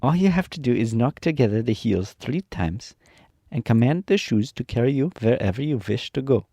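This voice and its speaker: A gracious good witch who gives commands, played by a man who raises his voice a little and lends it a stately slowness. The warm, dark tone is recorded close and dry. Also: medium pitch, animated, no echo, pitched above the performer's own voice. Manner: stately, slightly raised and slow.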